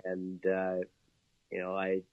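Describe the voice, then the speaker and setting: A cheerful, chatty man from Canada speaks as an interview guest. His voice sits a little low and sounds very dry, with no room around it. The line is slightly dull and lo-fi. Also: monotone, slow, clean tone, no echo. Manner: upbeat, chatty, laughs often, many fillers. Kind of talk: casual interview talk.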